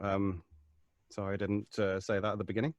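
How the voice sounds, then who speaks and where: A man's voice: fairly low pitch, level tone, a little fast, a crowd in the background. A British man, a podcast voice actor, chatting casually in a group. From Britain.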